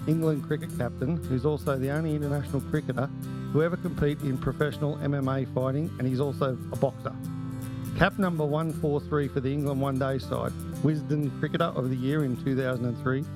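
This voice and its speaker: A man's voice: mid-range voice, steady tone, fast talker, music in the background. A man hosting a podcast interview, chatty and teasing with his guest. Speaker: podcast host.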